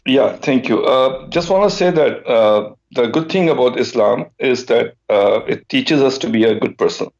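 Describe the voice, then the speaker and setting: A man's voice: medium pitch, expressive, normal speed, few pauses, a little mellow. A man calling in to a live debate show, hesitant and full of restarts. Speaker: caller. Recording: muffled phone-in line.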